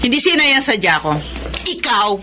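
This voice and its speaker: A woman's voice: on the high side, sing-song, moderate pace, sharp tone, a little hiss or hum. A Filipino woman acting a jealous, hot-tempered wife in a radio drama, accusing and confrontational, with a Filipino accent. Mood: furious.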